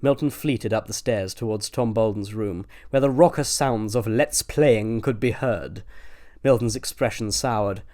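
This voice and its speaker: A man's voice: fairly low pitch, a little slow, a little mellow. A man with a British accent reading scripted comic story narration as an audiobook narrator.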